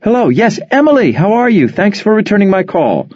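Man putting on a bossy high-voiced wife. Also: very animated, no echo. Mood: bright phone chatter. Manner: brisk, commanding, bright phone politeness. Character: bossy harried middle-aged wife planning a party. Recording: dull, dry studio audio.

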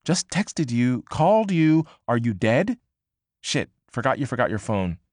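Audiobook: man doing a high, swooping, pushy young woman, close and dry. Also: very animated, a little mellow, dry recording. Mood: agitated. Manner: quick, emphatic, swearing freely. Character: brash, excitable young woman, pushy and gossipy. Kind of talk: audiobook character voice.

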